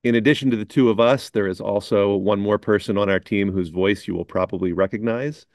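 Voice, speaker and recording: A middle-aged man speaks in a measured, wry tone. His voice is very low with a gravelly fry, and the recording is dry and close. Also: darkish tone, no echo. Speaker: chief editor. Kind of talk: casual on-camera chat.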